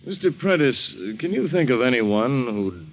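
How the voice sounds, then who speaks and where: Very animated police captain. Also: male voice, medium pitch, moderate pace, crowd noise. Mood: probing, insistent. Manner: insistent, probing, folksy authority. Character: brisk, persistent male police captain questioning a victim. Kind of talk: 1940s radio drama acting. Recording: dull, band-limited vintage radio recording.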